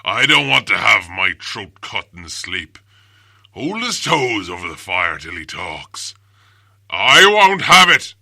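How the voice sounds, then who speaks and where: A hulking, dim-witted storybook troll with a Cockney accent. The voice is gravelly and very forceful, blustering and quarrelsome, with wide swings in pitch. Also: male voice, sing-song, no echo, clean studio sound, heavily acted. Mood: grumbling. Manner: slow-witted, rough, blustering speech. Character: big, dim, quarrelsome, brutish male trolls.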